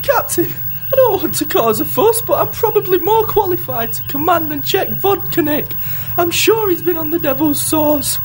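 A man's voice: very high voice, expressive, normal speed, soft background music. A man playing a fussy, suspicious, grumbling starship crew officer who complains, in a comedy radio play.

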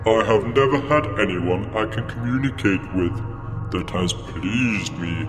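An actor in a comedy radio play playing a bored, lonely, grandiose alien being who taunts people as a false creator. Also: male voice, lowish voice, unhurried, natural voice. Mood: lonely, confiding. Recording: echoing reverb hall with music underneath.

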